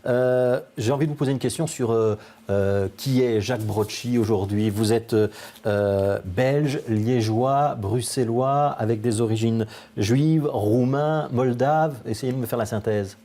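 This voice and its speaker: A man hosting a studio political interview, asking brisk questions with many hesitations. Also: mid-range voice, warm tone. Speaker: TV interviewer and host. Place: television studio.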